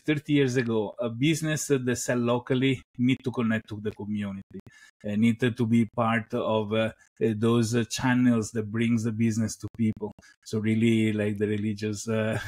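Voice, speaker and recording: A middle-aged Italian man speaking English with an Italian accent as a guest on a video call, talking slowly with many pauses and "uh" fillers. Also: mid-range voice. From Italy (Venice). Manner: unhurried, many "uh" pauses, soft trailing phrases. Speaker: guest entrepreneur. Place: home room indoors. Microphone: headset with boom mic on video call.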